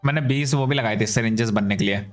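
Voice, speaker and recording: A man's voice: medium pitch, racing, faint music behind. A young man with an Indian accent chatting casually on a game livestream, mixing in English words. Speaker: game streamer.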